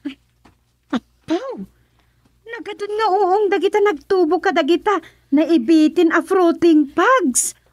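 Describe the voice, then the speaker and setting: A woman's voice: very high voice, slow, long pauses, no echo, studio quality. A woman playing a curious, helpful daughter in a radio drama, with an inquisitive, admiring manner.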